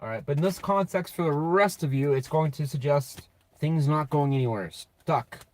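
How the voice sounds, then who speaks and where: A man giving a casual, off-the-cuff tarot reading in a blunt, sweary, slangy way, with a slightly raspy voice. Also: on the high side, moderate pace. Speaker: tarot reader and channel host.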